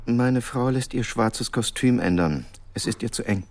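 An actor playing a reproachful, distrustful middle-class husband in a radio drama, speaking formally and pointedly. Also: male voice, medium pitch, normal speed, natural voice. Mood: bitter, reproachful. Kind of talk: naturalistic German radio drama acting.

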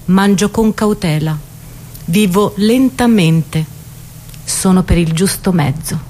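Radio monologue: actress as a self-preserving woman obsessed with freshness, deliberate and slow, faint noise. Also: mid-range voice, a little mellow, natural voice. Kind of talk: literary monologue reading for radio.

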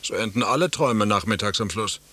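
A sly male companion in a radio drama, with a low, raspy voice. He is formally polite yet taunting, and swings poetically from line to line in wide, lively inflections. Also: brisk, full character voice. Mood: slyly taunting. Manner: formal, courteous, taunting, declamatory. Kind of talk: radio play dialogue.

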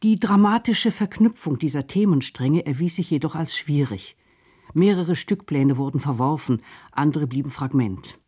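A man reading a scripted radio documentary narration in a measured, formal way. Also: a little high, animated, dark tone, breathy edge.

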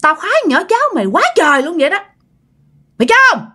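A woman's voice: sits high, sing-song, quick pace, pushed and bright, pitched above the performer's own voice, full character voice. A woman voicing a menacing old beggar ghost in a ghost story, taunting, demanding and cackling. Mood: greedy, taunting.

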